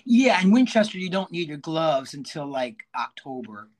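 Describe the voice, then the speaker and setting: A man with a raspy voice hosting a podcast, telling stories in an expressive, jokey way. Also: fairly high pitch, normal speed, crowd noise. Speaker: podcast host. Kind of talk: casual podcast chat.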